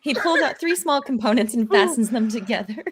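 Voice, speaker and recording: Female narrator, higher register, informal online table-read delivery of stage directions. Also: animated, a little fast, slight background noise. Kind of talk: casual live table read, lively narration.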